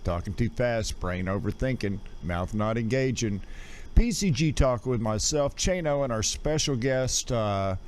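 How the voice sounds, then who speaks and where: A man's voice: medium pitch, a little slow. Folksy male radio host, noisy recording. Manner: folksy, addresses listeners as gang. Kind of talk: casual livestream chat greeting listeners.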